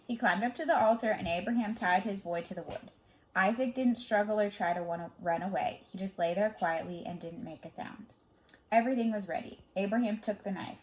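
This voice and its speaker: A woman reading a children's Bible story aloud as a storyteller, dramatic in delivery, moving between emphatic and hushed phrasing. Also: medium pitch, rough recording.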